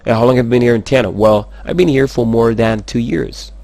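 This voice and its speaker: A man teaching English, saying model sentences slowly and switching quickly into rapid explanations. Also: medium pitch, gravelly, a little mellow, dry recording. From Madagascar. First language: Malagasy. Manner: slow, careful model sentences between fast explanations. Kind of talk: language lesson, explaining in Malagasy and French.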